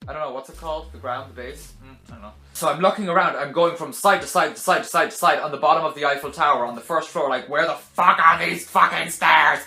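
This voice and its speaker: A young man telling a casual vlog story to camera in a dramatic, animated way, with sarcastic, self-deprecating asides. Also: fairly high pitch, quick pace, vocal fry, hardly any pauses. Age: young adult. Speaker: video blogger telling a personal story. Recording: roomy echo.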